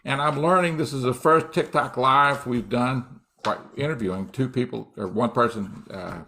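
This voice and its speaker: A man in his late sixties hosts a casual live-stream chat with an architect guest. He talks haltingly, with many fillers and restarts, and laughs often. His pitch sits in an everyday middle range. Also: sharp tone. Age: 60s. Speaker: host.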